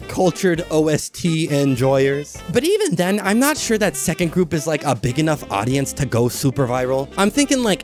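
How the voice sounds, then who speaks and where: A man's voice: medium pitch, a little mellow. A man hosting a casual video essay, chatty and blunt, with lots of "like" and cheerful profanity. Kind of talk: casual commentary.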